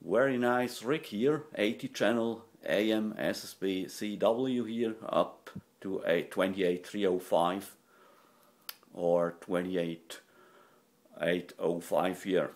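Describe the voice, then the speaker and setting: Low, slow man. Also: steady tone. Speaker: radio collector and presenter. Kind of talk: informal product demonstration. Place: indoors at a desk. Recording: noisy room with radio static.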